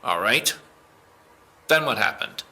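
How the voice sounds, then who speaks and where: A man's voice: mid-range voice, expressive, slow, bright, forceful tone, exaggerated voice. A man playing a stern, no-nonsense police officer in an audio drama, questioning a suspect in a curt, businesslike way. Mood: controlled, businesslike.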